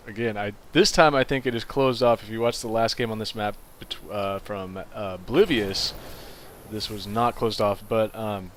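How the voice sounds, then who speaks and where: Nasal young man casting a game. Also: a little hiss or hum. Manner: relaxed and rambling, gets louder and higher as the action heats up. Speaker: game caster. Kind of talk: casual game commentary. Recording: music playing behind the voice.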